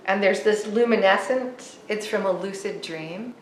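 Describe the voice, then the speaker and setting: Woman artist, unhurried and reflective, faint background hiss. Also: medium pitch, reverberant. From East Coast of the US. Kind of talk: reflective talk about her art.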